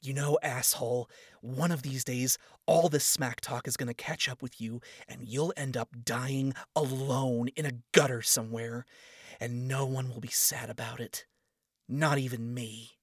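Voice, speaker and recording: A man voicing a kind but stubborn young demon princess in a lifted, softer voice. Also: medium pitch, steady tone, measured pace, slightly rough, no echo, studio quality. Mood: angry.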